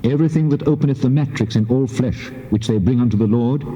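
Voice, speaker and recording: An actor playing the God of Israel in dramatised scripture, solemn, measured and commanding, with heavy reverb on his voice. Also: medium pitch, mellow. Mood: solemn, decreeing. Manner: solemn, measured, authoritative declaiming. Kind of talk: dramatised scripture reading in King James English. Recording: muffled tape, long cavernous reverb.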